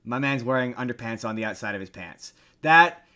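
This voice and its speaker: A man's voice: medium pitch, expressive, a little fast, pushed and bright. A man hosting a podcast with casual comedic chat, talking animatedly and repeating phrases for comic emphasis. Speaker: podcast host. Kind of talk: casual comedic chat about pop culture.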